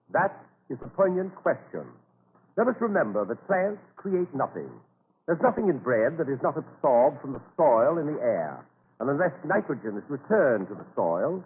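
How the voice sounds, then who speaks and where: A solemn Victorian man of science declaims formally in an old radio play. His fairly high voice swings widely in pitch over a tinny, noisy recording. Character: grave, eminent Victorian male scientist warning mankind. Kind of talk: 1930s radio oratory acting.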